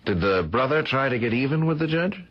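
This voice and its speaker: An actor playing an earnest, curious young student, polite and a little hesitant, in a radio drama. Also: male voice, mid-range voice, animated, brisk, close to an everyday voice. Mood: intent, curious. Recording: muffled old radio drama recording.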